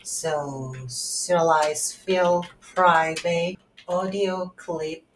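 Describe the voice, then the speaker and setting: Low-pitched, slow woman in a roomy space. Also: steady tone. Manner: halting, thinking aloud while typing. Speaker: tutorial instructor. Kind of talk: unscripted software tutorial narrated while coding. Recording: roomy echo, faint background noise.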